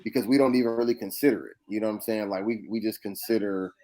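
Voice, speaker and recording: An American man in a casual podcast discussion, speaking haltingly and repeating words as he works toward his point. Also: fairly low pitch, fairly even intonation, dark tone. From United States. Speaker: podcast co-host.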